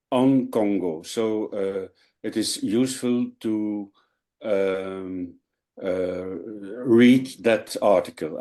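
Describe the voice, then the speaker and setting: A man speaking slowly and haltingly in a meeting, with many fillers. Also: on the low side, mellow. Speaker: meeting participant.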